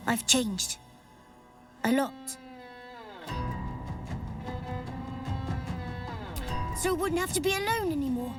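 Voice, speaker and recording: A woman's voice: high-pitched, lively intonation, moderate pace, music in the background. A woman voicing a lonely, hurt, defiant child in a video game, with a plaintive, petulant manner. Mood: wistful, hopeful.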